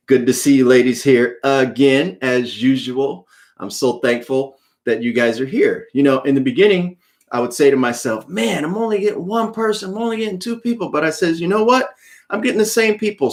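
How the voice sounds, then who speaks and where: Man, medium pitch, children's author, live online read-aloud, friendly direct address.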